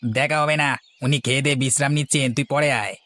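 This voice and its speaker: A woman putting on a gruff, bossy male guard. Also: a bass voice, fairly even intonation, fast talker, fairly bright tone, no echo, voice pushed down. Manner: curt, bossy.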